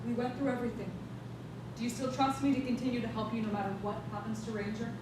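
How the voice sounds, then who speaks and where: A woman's voice: on the high side, level tone, fast, close to an everyday voice. A woman playing a cold, obsessive scientist, terse and insistent, in a staged play reading. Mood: clipped. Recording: echoey, noisy stage recorded from far off.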